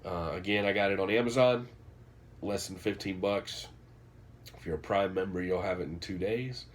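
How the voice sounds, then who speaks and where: A man's voice: deep voice, moderate pace, a little hiss or hum. Stuffy-nosed man rambling. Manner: rambling, many fillers and restarts. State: congested from a cold, nasal. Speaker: vlogger reviewing a book. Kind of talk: casual unscripted vlog.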